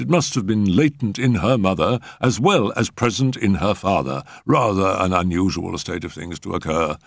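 Guy doing a pompous genial top doctor from a storybook, lecturing, very animated, close dry sound. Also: on the low side, dry recording, pitched above the performer's own voice. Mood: dry, instructive. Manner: pompous, measured, emphatic lecturing. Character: pompous, genial eminent male physician, fond of lecturing.

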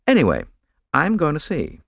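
Vain young actress voiced by a male narrator: sharp, petulant, lively. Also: a little high, moderate pace, gravelly, dry recording, clean studio sound, voice pushed up, full character voice. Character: vain young female film star.

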